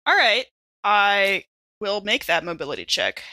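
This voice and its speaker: A woman's voice: medium pitch, big pitch swings, measured pace, bright, forceful tone, dry recording. A woman playing a tabletop role-playing game, chatting casually about her moves and dice.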